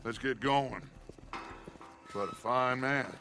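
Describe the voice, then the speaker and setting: An actor playing a cunning, theatrical outlaw gang leader with an American accent, speaking in grand, deliberate folksy phrases. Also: male voice, medium pitch, creaky, sharp tone, faint music behind, exaggerated voice. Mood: dry. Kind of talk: dramatic video game acting.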